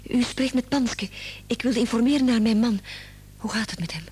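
An actress in a radio drama playing the distraught, reproachful wife of a gravely ill man. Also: fairly high pitch, moderate pace, darkish tone. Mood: anxious. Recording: old radio play, faint noise and hum.

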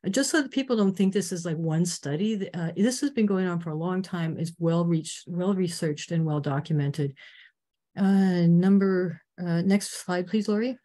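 An older woman from Canada giving an earnest, informative slide talk on a video call, clearing her throat now and then. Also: fairly low pitch, normal speed, warm tone. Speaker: presenter.

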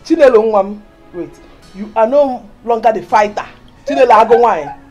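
Older village mother, Nigerian Igbo accent, doting and pious, emphatic repeated phrases, lively intonation, film drama. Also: on the high side, moderate pace, muffled recording, soft background music. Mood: tender advice. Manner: emphatic, repetitive.

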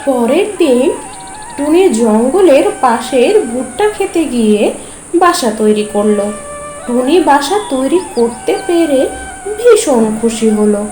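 A woman narrating a children's cartoon story in a gentle, measured way. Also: high-pitched, lively intonation. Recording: echoey with music behind.

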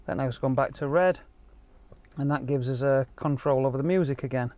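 A man's voice: medium pitch, brisk, no echo, muffled recording. A man giving a casual, explanatory product walkthrough as a car audio installer. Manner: informal, explanatory. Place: outdoor car park and inside car. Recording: clear ambient noise behind handheld camera.

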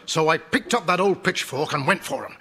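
A man's voice: fairly high pitch, animated, fairly quick, sharp tone, breathy edge, full character voice. An actor playing a proud old rustic stableman who loves horses, telling tales in a folksy way in an audio drama. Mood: relishing, excited. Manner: folksy, relishing storyteller.

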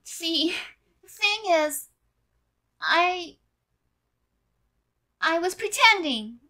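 A woman playing a flustered, defensive teenage girl with a teasing streak in a fan audio drama, protesting in emphatic, halting bursts. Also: extremely high pitch, big pitch swings, sharp tone, heavily acted.

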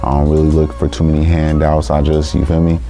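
Very deep-voiced laid-back rapper. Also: male voice, monotone, quick pace, mellow, soft background music. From San Francisco. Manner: slangy, laid-back street talk, constant "you feel me". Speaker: rapper guest. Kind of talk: casual interview talk. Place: small recording studio room.

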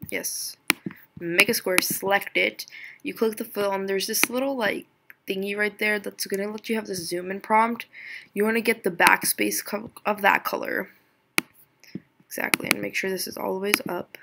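Chatty giggly young woman, gravelly voice. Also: steady tone, moderate pace, background noise. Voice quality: voice lower than usual today. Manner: chatty, giggly, self-deprecating, thinks aloud. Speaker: tutorial creator. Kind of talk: casual screen-recorded software tutorial.

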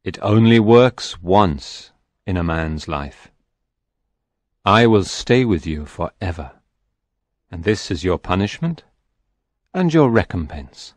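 A man voicing a grave, deep-voiced soul. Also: sing-song, no echo, heavily acted. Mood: stern. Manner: slow, grave storytelling delivery. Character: a man's soul, spirit, stern and solemn. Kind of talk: audiobook reading.

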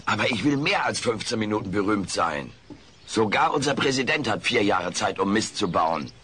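An actor playing a wisecracking, vain, washed-up alien comedian in a comic radio play, with a sarcastic, self-important manner. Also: male voice, lowish voice, lively intonation, moderate pace, close to an everyday voice. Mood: defiant.

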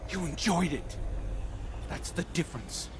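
An actor playing a guilt-ridden, defiant young guardian in a video game, speaking with intense, earnest emotion. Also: male voice, a little high, very animated, a little slow, noisy background. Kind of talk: dramatic game voice acting. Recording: game music behind the voice.

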